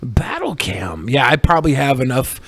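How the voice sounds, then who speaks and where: A man's voice: mid-range voice, sing-song, moderate pace, vocal fry, fairly bright tone, no room sound. A man co-hosting a podcast with crude, joking, casual banter. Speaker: podcast co-host.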